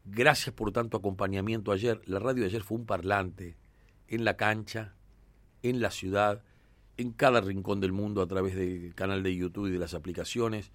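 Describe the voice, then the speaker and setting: A man with an Argentine Rioplatense accent hosts a sports radio show from the studio. His voice sits on the low side and comes through dry and close on a studio microphone. He talks conversationally and fills his pauses with "eh" and "este". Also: steady tone, no echo. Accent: Argentine Rioplatense Spanish. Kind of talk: sports radio talk and commentary.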